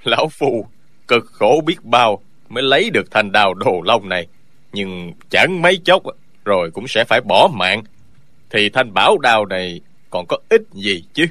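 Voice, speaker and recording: In this audiobook, a man voices a stubborn old man whose bright, forceful voice quavers and swings widely in pitch. He sounds greedy and grasping. The recording is dry and close. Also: sing-song, measured pace, dry recording, exaggerated voice. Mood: quavering. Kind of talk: audiobook character dialogue.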